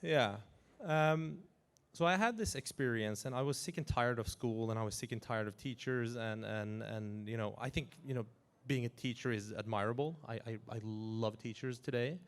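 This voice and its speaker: A man in his forties from Iran who grew up in Norway, talking as a guest in an interview in an animated way, chuckling and restarting his sentences. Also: medium pitch, moderate pace, few pauses, clean tone. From Iran, raised in Norway. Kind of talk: casual interview talk.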